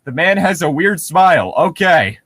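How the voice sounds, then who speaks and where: A man's voice: a little high, expressive, normal speed, a bit bright, no room sound. A sarcastic young man joking and reading casually as co-host of a comedy story reading.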